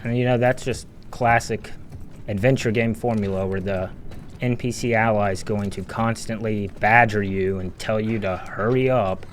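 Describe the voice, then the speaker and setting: A man's voice: on the low side, steady tone, moderate pace, slight background noise. A man giving informal, exasperated and sarcastic commentary as a video game critic, swearing freely. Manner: sarcastic, exasperated, foul-mouthed. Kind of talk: informal analysis commentary over gameplay.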